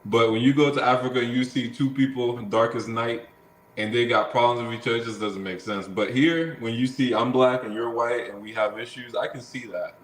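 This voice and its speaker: A man's voice: medium pitch, steady tone, a little fast, a little hiss or hum. Man in an echoey room. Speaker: panelist. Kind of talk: casual panel discussion.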